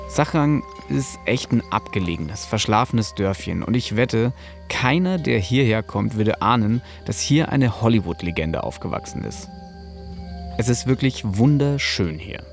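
A man's voice: medium pitch, darkish tone. A man narrating a scripted podcast as host, in a casual, personal storytelling manner.

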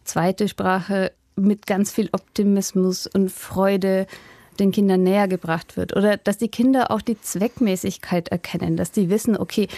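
A woman's voice: mid-range voice, dark tone, dry recording, clean studio sound. A woman, a linguistics professor explaining her field in an interview with everyday examples and easy laughter. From Upper Franconia, Germany. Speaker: linguistics professor and author, guest.

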